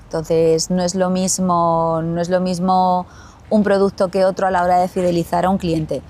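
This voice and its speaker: A woman with an Andalusian Spanish accent, a company chief answering interview questions in a measured way, pausing and repeating as she thinks. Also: on the low side, level tone, a little mellow. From Spain. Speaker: glamping company CEO, guest.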